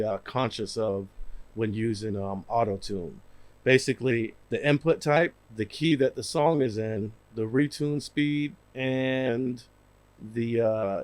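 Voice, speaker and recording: A man in his thirties with a slightly raspy, worn voice, casually explaining software in a home studio over a headset mic, with lots of fillers. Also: mid-range voice, no echo. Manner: relaxed, rambling, many fillers. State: losing his voice, a bit raspy. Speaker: music producer. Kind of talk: casual screen-share tutorial.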